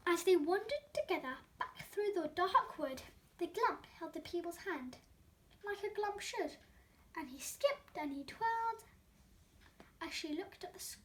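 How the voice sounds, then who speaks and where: A young-sounding female reader presenting a children's storybook with expressive narration. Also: very high-pitched.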